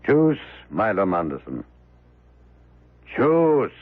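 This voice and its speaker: Merciless plague spectre: husky, creaky, formal and commanding, on narrow vintage radio sound. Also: male voice, lowish voice, huge pitch swings, dry recording, full character voice. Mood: solemn, menacing. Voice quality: gravelly. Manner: slow, solemn, formal, commanding. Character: Red Death incarnate, solemn merciless male spectre. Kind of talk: radio drama acting. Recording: muffled, narrow-band old radio recording.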